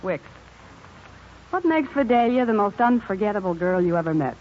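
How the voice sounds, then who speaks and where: A woman's voice: medium pitch, very animated, normal speed, close to an everyday voice. An actress playing a frank, commanding American woman in a 1950s radio drama, speaking emphatically in long, perceptive speeches. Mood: firm, reflective. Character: frank, no-nonsense, perceptive woman scolding two men. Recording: muffled, hissy 1950s radio recording.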